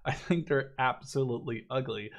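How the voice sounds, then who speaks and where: A man's voice: mid-range voice, moderate pace. A man in his twenties giving casual game commentary in a rambling, conversational, opinionated way. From Netherlands. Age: 20s. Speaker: gaming video commentator.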